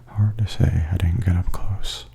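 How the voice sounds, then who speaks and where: A man giving soft-spoken, hushed and often whispery ASMR commentary as a video host. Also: low voice, fairly even intonation, measured pace, vocal fry, husky. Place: voice-over added after filming.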